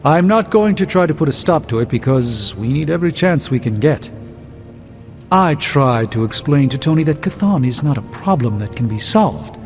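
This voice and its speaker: Weary male wizard mentor, measured and thoughtful, game dialogue with long reverb over music. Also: on the high side, lively intonation. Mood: troubled, resigned. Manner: measured, eloquent, reflective. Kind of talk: video game character dialogue. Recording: muffled phone-like band, long reverb, music behind.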